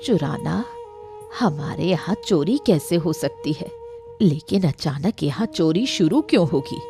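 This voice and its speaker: A woman voicing a practical village wife and homemaker in an animated moral story. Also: on the low side, a little slow, over music. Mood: worried.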